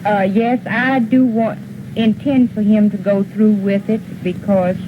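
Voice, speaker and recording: A woman's voice: medium pitch, steady tone, a little slow. Mother interviewed on dull, noisy newsfilm. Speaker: parent interviewee. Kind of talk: halting interview answers. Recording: dull old newsfilm, clear noise.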